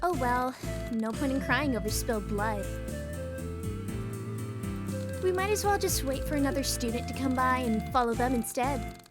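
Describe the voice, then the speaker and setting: A woman's voice: sits high, brisk, over loud music, natural voice. A woman voicing a vain, rude, nihilistic schoolgirl in a dating sim fan dub, with sarcastic, theatrical delivery. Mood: aloof, dismissive. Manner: sarcastic, foul-mouthed, theatrical.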